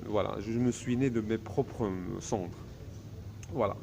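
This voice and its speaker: A man's voice: fairly low pitch, slow pace, vocal fry, noisy background. A man whose first language is Arabic, delivering a grandiose solo video monologue in a self-assured tone, full of hesitations. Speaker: vlogger.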